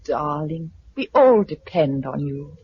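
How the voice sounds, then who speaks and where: British female, supportive survivor wife, 1950s radio drama style, animated, dull recording with faint hiss. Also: deep voice, takes it slowly, heavily acted. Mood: teasing, tender. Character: gentle, supportive, plucky wife surviving after catastrophe.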